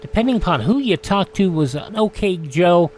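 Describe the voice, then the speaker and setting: A male radio host reading a history rundown in a measured way with wry asides. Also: high voice, expressive, darkish tone, a little hiss or hum.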